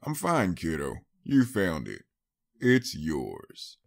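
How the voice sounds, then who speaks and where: A folksy older stallion from an audiobook tale, acted by a man. He is good-natured, a bit cocky and fatherly, with an animated, swinging pitch and an affectionate, easygoing way of talking. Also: slow pace, vocal fry, exaggerated voice. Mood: fond.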